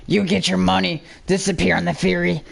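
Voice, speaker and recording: A reader voicing a cold, sure outlaw schemer in a story, flat and commanding. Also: medium pitch, a little fast, creaky.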